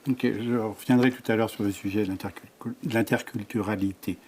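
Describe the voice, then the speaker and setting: Very low-pitched, dark-toned woman interviewer. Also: fairly even intonation, fairly quick, slight background noise. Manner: brief prompting questions and backchannels. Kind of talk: conference interview.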